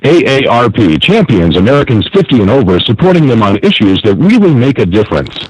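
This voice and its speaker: A man's voice: mid-range voice, very animated, a little fast, soft background music. A man reading scripted menu prompts and hold messages as an automated announcer over a telephone line. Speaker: automated phone system announcer.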